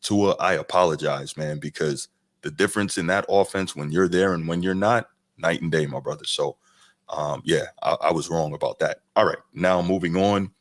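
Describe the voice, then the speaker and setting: A man with a very deep, low voice gives football picks in a measured, frank way. The sound is dry and close, with no room around it. Casual podcast chat. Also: no room sound. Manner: measured, frank, agreeable with many mm-hmms. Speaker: podcast co-host.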